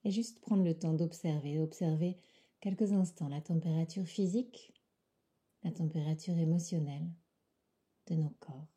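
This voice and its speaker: A woman leading a guided meditation in a soft, calm, soothing voice that often drops to a near whisper, with unhurried phrasing. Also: deep voice, slightly rough. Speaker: yoga nidra guide.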